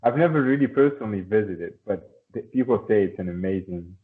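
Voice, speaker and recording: A man teaches one-on-one over a video call, encouraging and repeating himself as he guides the student. His voice is on the low side, and the audio is thin and tinny like a phone line. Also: lively intonation, a little fast. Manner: friendly, encouraging, repeats words. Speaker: language teacher. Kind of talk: online lesson conversation.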